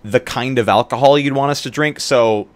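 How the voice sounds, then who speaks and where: A man streamer chatting casually on a livestream in a halting way, often restarting his sentences. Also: medium pitch, no echo.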